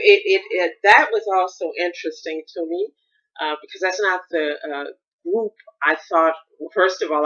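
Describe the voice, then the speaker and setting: American woman, solo podcast host, rambling reflective talk, bright forceful voice, dull lo-fi sound. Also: low-pitched. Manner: rambling, thinking aloud with frequent restarts.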